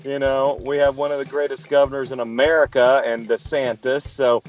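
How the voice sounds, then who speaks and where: A man's voice: medium pitch, level tone, normal speed, faint music behind, low-quality recording. A man co-hosting a podcast interview, easygoing and joking in casual chat. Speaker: podcast co-host. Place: United States.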